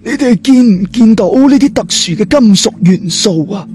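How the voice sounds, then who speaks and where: Guy doing sly old boss in an audiobook, high mellow voice, slow n ominous. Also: animated, soft background music. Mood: secretive, serious. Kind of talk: audiobook character dialogue.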